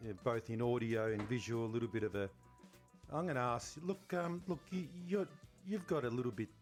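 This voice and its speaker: A man's voice: mid-range voice, brisk, faint music behind. A man co-hosting a podcast, joking and self-deprecating while he asks his co-host questions. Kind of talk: casual interviewing chat.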